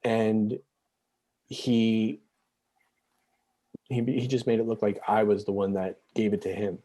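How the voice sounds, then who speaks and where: Mellow-voiced man in his forties. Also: fairly low pitch, level tone. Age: 40s. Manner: halting, frequent restarts and fillers. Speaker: interview guest. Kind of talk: candid personal storytelling.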